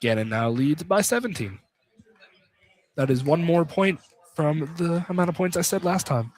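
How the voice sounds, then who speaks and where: A man with a high-pitched voice calls a live basketball game on the radio. He is informal and casual, sometimes poking fun at himself. There is faint noise behind him, as in a live gym broadcast. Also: animated, normal speed, darkish tone. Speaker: play-by-play sports commentator.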